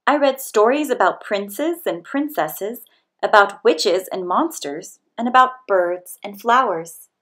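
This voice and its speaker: A woman reading a children's picture book aloud as scripted narration, with expressive, deliberate storytelling delivery. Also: mid-range voice. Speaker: storybook reader.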